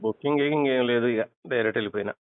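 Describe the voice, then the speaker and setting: A man's voice: medium pitch, rapid-fire, smooth. A man chatting casually and wryly as a customer on a muffled phone call recording. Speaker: customer answering a phone survey.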